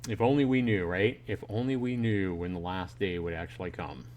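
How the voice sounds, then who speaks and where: A man with a lowish voice hosts a casual gaming livestream. He moves between reading story text aloud and commenting on it in an informal, joking way, talking directly to his chat. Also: fairly quick, a little mellow, a little hiss or hum. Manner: informal, joking, chatty with viewers. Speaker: livestreaming gamer reading a visual novel to chat.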